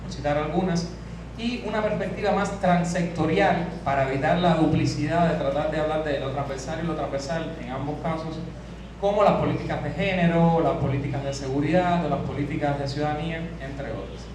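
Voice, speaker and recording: Strained Cuban man lecturing in an echoey hall. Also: on the high side. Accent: Cuban Spanish. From Cuba. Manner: measured, with frequent hesitations and restarts. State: voice strained from hours of teaching. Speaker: conference speaker. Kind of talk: academic seminar talk. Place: large conference hall. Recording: echoey hall, distant mic, noisy.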